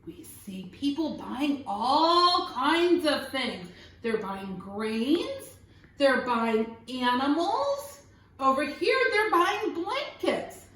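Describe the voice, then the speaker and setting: A woman with a high, very animated voice reads a children's picture book like a teacher at storytime. She is expressive and often stops to ask questions or point at pictures. The room around her sounds echoey. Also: very slow, distant mic. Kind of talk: animated read-aloud storytelling for young children.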